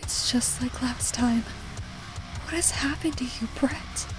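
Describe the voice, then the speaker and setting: A woman playing a troubled young woman who narrates a visual novel in a somber, measured way. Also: high-pitched, over music, low-quality recording. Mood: dismayed. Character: troubled, reflective young woman recounting dark memories.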